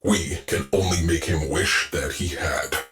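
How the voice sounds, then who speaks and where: An actor playing a grave male ship AI who gives clipped, measured military reports in a video game. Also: deep voice, over music. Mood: steady. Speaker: ship's command AI.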